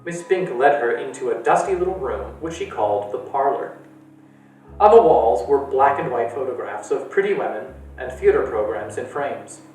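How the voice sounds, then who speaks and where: A man reading a novel aloud to children at a library storytime, in a steady storytelling way. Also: normal speed, distant mic, a little hiss or hum. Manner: steady storytelling with lively pitch. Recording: echoey room from afar with faint music.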